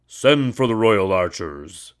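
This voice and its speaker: A man voicing an imperious, wrathful emperor from a storybook, with a commanding, booming delivery. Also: sing-song, slow. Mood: furious command.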